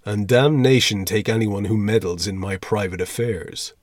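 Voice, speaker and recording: A man plays a sullen young teacher in a storybook, gruff and quick to anger. He talks low, curt and cold, with a slightly husky edge. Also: no echo, studio quality. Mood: angry, contemptuous. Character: sullen, gruff, hot-tempered young male teacher, bass.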